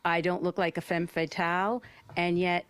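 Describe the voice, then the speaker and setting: A woman's voice: lowish voice, level tone, normal speed, smooth, dry recording. A woman who performs in audio drama, talking personally and conversationally on a panel.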